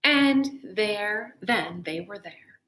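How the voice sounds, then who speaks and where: A woman teacher reading aloud and talking deliberately to young children. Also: medium pitch, lively intonation, fairly bright tone. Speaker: elementary school teacher. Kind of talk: expressive read-aloud and talk to young pupils.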